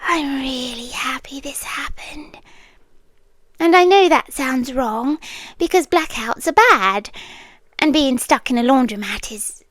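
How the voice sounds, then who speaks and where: Casting: actress to play young British yandere stalker for ASMR roleplay; high-pitched, slow, soft, hesitant, sweetly obsessive. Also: female voice, no echo, exaggerated voice. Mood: giddy, confessional. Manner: soft, breathy, hesitant, drifting into whispers. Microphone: close microphone, dry.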